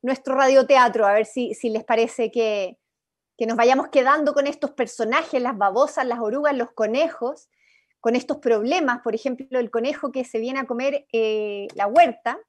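A woman's voice: fairly high pitch, normal speed. A young woman with a Chilean accent guiding an informal workshop over a video call in an encouraging, inviting way. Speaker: workshop facilitator. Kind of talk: informal guided workshop talk. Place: indoor room, on a video call. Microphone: video-call computer microphone.

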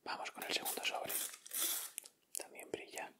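Slow whispering man with a Castilian accent. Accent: Castilian Spanish. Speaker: ASMR video host. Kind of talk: ASMR, whispered unboxing commentary.

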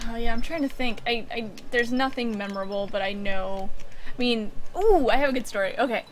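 A woman's voice: a little high, fairly quick, slight background noise. A young woman chatting casually as a gaming co-host, with hesitant, easygoing reactions. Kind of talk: casual chat, brief reactions.

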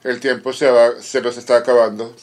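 Guy doing live interpreting at a formal meeting, pretty monotone, mid pitch, pauses between short chunks. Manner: measured, halting delivery in short phrases with pauses. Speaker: conference interpreter. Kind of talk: simultaneous interpretation of a formal panel discussion.

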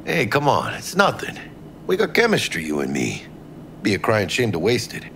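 A brash but friendly cyberpunk game smuggler with a Mexican American accent. His low voice is thick with gravel and he talks in emphatic slang, dropping in Spanish curses. Faint noise sits behind him. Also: huge pitch swings, normal speed, fairly bright tone, heavily acted. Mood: warm, teasing. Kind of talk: video game voice acting.

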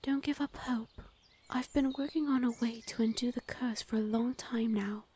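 A woman voicing a gentle, protective fae lady of the forest in an ASMR roleplay, soothing and often whispering. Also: fairly high pitch, fairly even intonation, moderate pace, husky. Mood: soothing, apologetic.

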